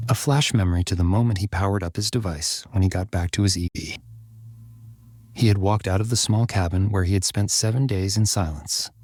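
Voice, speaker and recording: Low, husky man narrating a thriller. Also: a little mellow, no echo, studio quality. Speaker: audiobook narrator. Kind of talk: scripted dramatic fiction narration.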